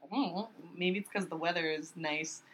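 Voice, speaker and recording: A young woman co-hosting a podcast in casual chat, her voice a little raspy, laughing often and joking. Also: fairly low pitch, normal speed, low-quality recording. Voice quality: slightly raspy today. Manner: bubbly, laughs often, jokey asides. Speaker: podcast co-host.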